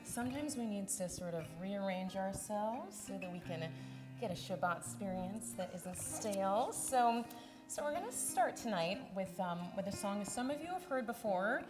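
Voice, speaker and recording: A woman leading a synagogue service, welcoming people in a warm, chatty way and laughing easily. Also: mid-range voice, animated, moderate pace, over music. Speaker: service leader. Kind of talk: welcoming a congregation. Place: synagogue sanctuary. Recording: hall reverb, live broadcast.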